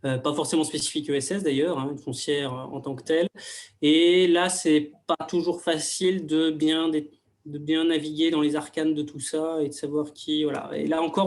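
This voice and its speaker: A man with a fairly high-pitched voice speaks as a local government panelist. His delivery is informal and explanatory. He thinks aloud, reshaping phrases along the way. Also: darkish tone. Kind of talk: informal explanatory conference talk.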